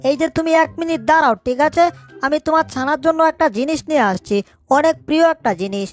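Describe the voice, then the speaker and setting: Woman voicing a high-pitched fussy cartoon mouse. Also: lively intonation, quick pace, soft background music. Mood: kindly. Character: small male cartoon mouse, exasperated but kindly. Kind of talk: cartoon fan dub.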